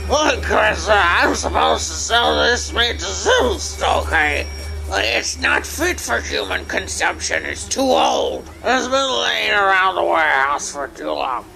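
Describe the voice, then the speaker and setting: A man playing a seedy, shady meat supplier in a horror audio drama, with a gravelly, sharp, drawling voice. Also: sits high, big pitch swings, a little slow, faint music behind, exaggerated voice, low-quality recording. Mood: shady bargaining.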